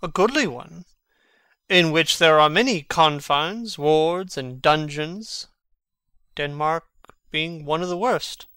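A man's voice: a little high, takes it slowly, bright, forceful tone, clean tone, no echo. A man playing a mocking, brooding prince who feigns madness, theatrical and sardonic in a Shakespearean dramatic reading.

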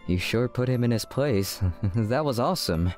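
A man's voice: mid-range voice, animated, measured pace, darkish tone, faint music behind, natural voice. A man voicing a guarded teenage schoolboy who narrates his story casually in a manga dub. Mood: awkward, grateful. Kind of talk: scripted first-person manga dub narration.

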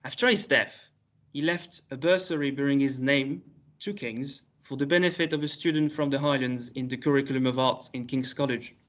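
A man reads a prepared academic paper in a measured, careful way, now and then restarting a word. His voice sits at an average pitch. The video call audio is slightly muffled. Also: fairly bright tone. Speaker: conference presenter.

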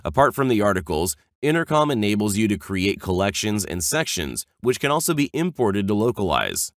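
A man reading a scripted software tutorial, explaining clearly step by step as an instructional narrator. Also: fairly low pitch, very animated, fairly quick, no room sound, studio quality. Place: close, dry voice-over recording.

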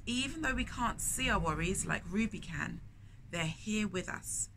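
A woman's voice: medium pitch, expressive, a little slow. A middle-aged woman hosting storytime, reading a picture book aloud expressively, with chatty asides and questions for young listeners. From lives in London, England. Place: indoors in a bookshop. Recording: clear background noise, shop ambience. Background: background ambience noise.

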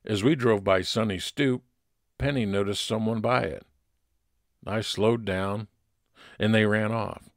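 Deep, gravelly man narrating detective fiction. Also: fairly even intonation, unhurried, long pauses, dry recording, studio quality. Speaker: narrator playing a private investigator. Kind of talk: scripted first-person narration, old-time radio detective style.

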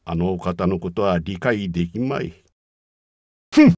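An actor voicing a haughty, menacing yeti combat robot in a Japanese video game, with an imperious, scornful, declamatory delivery and a gravelly voice. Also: male voice, low-pitched, lively intonation, unhurried, darkish tone, no room sound, clean studio sound. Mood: cold disdain.

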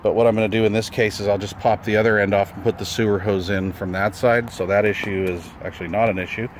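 Male hobbyist presenter, lowish voice, informal and explanatory, handheld outdoor recording with noticeable background noise. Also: fairly even intonation, fast. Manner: plain-spoken, informal, explanatory. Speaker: amateur radio hobbyist presenting his setup. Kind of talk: casual walkthrough narration. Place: outdoors beside a camper trailer, briefly inside it. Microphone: handheld camera or phone, held by the speaker.

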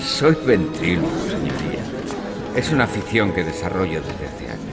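A man playing a clever, self-assured gentleman who explains and narrates in a formal, measured style for an audio drama. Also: low-pitched, very animated, loud music behind the voice. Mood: assured, revealing.

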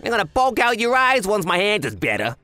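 A man voicing an excitable, chatty young gangster underling who scares easily, in an animated manga dub. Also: sits high, brisk, dry recording, full character voice. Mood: admiring, chatty. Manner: excitable, exclamatory.

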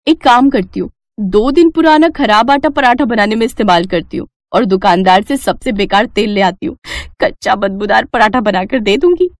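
A woman's voice: mid-range voice, lively intonation, moderate pace, dry recording, clean studio sound, close to an everyday voice. Woman playing a pushy, greedy daughter-in-law. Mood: scheming. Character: greedy, pushy elder daughters-in-law, grown women with children. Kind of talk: animated moral story dialogue.

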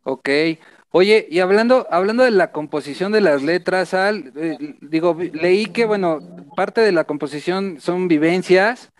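A man's voice: high-pitched, unhurried, dry recording. A man in his thirties hosting an interview, asking questions conversationally through a headset mic on a video call. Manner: conversational, stammers at starts of phrases. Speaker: interviewer host.

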